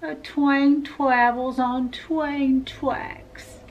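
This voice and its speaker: A woman's voice: steady tone, extremely slow, heavily acted. A woman voicing a tiny, shy rodent schoolboy who mumbles timidly and says W in place of R, in a picture book. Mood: miserable, timid. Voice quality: can't say R, says W instead. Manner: quiet, timid, miserable mumbling and squeaking. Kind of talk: children's picture book read aloud.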